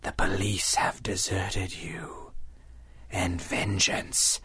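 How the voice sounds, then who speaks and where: An actor playing a menacing, vengeful killer in a radio drama, with a breathy whisper and an ominous delivery. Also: male voice, low-pitched, level tone, sharp tone, full character voice. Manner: slow, ominous. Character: sinister avenging killer, male, menacing and theatrical. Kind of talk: 1930s-style radio drama acting.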